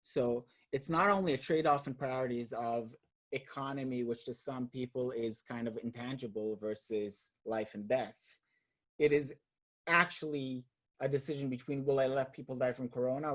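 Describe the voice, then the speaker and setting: A man's voice: mid-range voice, steady tone, normal speed, fairly bright tone. A man from Israel with an Israeli accent, hosting a roundtable discussion in a thoughtful, hesitant way, repeating words as he searches for them. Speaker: roundtable host. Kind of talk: casual discussion.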